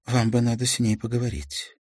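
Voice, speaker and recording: A man voicing a young policewoman who questions a girl calmly and gently. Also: mid-range voice, a little fast, no echo, studio quality, voice pushed up, lightly acted. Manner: calm, coaxing questions.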